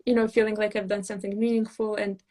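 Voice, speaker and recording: A young woman from Moldova, a pageant titleholder, chatting earnestly on a live video call with soft laughs and fillers. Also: medium pitch, level tone, fast talker. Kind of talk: casual live video chat.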